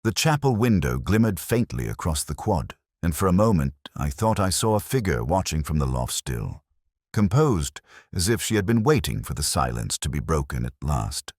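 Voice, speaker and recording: A man playing a very deep-voiced, brooding detective. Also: very animated, darkish tone, slightly rough, no room sound, clean studio sound, full character voice. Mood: brooding, reflective. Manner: measured, solemn, literary, reflective. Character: brooding older male English police detective narrating his notebook. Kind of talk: scripted first-person mystery narration.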